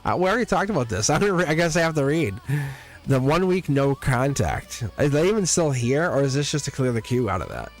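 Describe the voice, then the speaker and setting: A man's voice: mid-range voice, big pitch swings, fairly quick, soft background music. A man giving a live-streamed tarot reading, chatty and teasing, laughing often as he talks to his viewers. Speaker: tarot reader.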